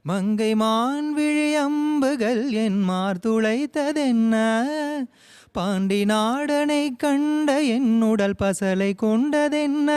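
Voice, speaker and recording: A woman's voice: fairly high pitch, a little mellow, smooth, no echo. A woman singing Tamil film songs as a contestant at an audition.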